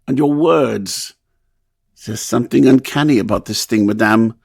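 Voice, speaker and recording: An actor playing a tormented amnesiac composer in an asylum in a radio drama, halting and theatrical. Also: male voice, medium pitch, creaky, a little mellow, husky. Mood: haunted, groping. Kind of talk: old-time radio drama acting.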